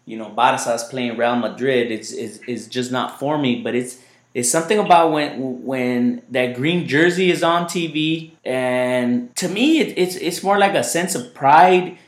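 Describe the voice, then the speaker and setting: A man's voice: medium pitch, measured pace. A man hosting a casual podcast chat, rambling loosely and repeating his words, whose first language was Spanish. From United States. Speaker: podcast host. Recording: echoey room.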